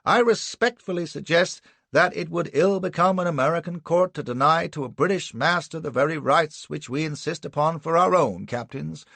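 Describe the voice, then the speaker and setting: Man playing a booming, indignant lawyer. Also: vocal fry, fairly bright tone, dry recording, exaggerated voice. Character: pompous blustering male defense lawyer.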